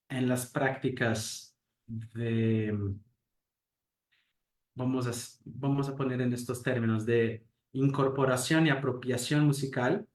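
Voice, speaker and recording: A man giving an academic talk as a lecturer, a native Portuguese speaker who speaks thoughtfully and hesitantly, pausing with fillers and asking rhetorical questions. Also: medium pitch, darkish tone. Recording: roomy echo.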